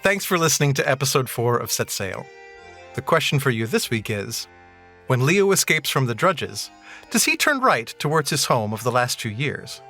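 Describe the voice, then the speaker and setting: A man's voice: mid-range voice, big pitch swings, moderate pace, pushed and bright, soft background music. A man giving scripted, dramatic narration as the narrator of an audio adventure series.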